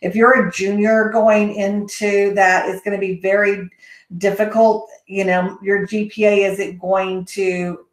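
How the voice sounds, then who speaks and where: A woman's voice: mid-range voice, flat delivery, a little slow. Texan woman advising on college admissions. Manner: rambling, many ums and restarts, friendly. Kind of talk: casual live Q&A answering questions. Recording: echoey room.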